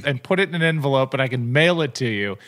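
A man's voice: mid-range voice, expressive, fast talker, fairly bright tone. A man co-hosting a podcast in casual chat, exasperated and sarcastic. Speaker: podcast co-host.

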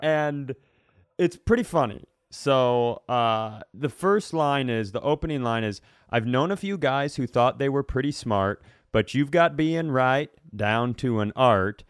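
A man chats casually as a comedy podcast co-host. His voice is smooth and clear at a middle pitch, recorded dry and close. He rambles through explanations in an animated, mock-serious way. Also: unhurried, no echo.

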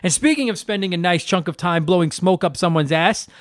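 A man's voice: high voice, a little fast, no echo, clean studio sound. A man hosting a retro gaming podcast, talking casually with a stuffed-up nose, rambling and swearing with self-deprecating jokes. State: stuffed up from allergies. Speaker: podcast host.

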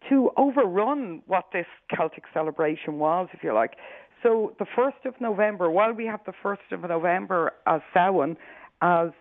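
A woman with an Irish accent, a professor being interviewed on the radio, explaining at length in a measured way with frequent restarts. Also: mid-range voice, no room sound. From Ireland. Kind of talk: expert explaining on a radio call-in. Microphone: telephone. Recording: tinny phone line, dry.

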